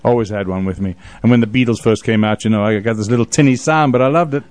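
A man's voice: lowish voice, quick pace, darkish tone, no echo. An older man from South Africa hosting a casual radio conversation, rambling and joking, often repeating his words. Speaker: radio show host.

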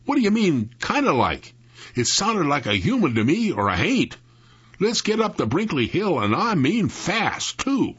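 A man's voice: on the high side, very animated, takes it slowly, a bit bright, breathy edge, no room sound, lo-fi sound, pitched above the performer's own voice, full character voice. A man voicing a frightened country man in a spooky story, with a rural Southern US accent and a quavering, folksy, panicky way of talking. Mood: alarmed. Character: frightened, trembling country man, wary of ghosts. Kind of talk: dramatic storytelling.

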